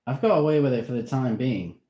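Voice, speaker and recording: A man's voice: medium pitch, fairly quick, mellow, pitched above the performer's own voice, lightly acted. A man doing a bold young schoolboy. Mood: calm. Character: bold, defiant young schoolboy, the story's teller. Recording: roomy echo.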